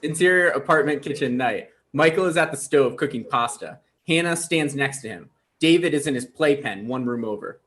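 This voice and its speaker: A man informally hosting a table read and reading out the screen directions, a little halting. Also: mid-range voice, lively intonation, a bit bright. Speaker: writer and stage-direction reader.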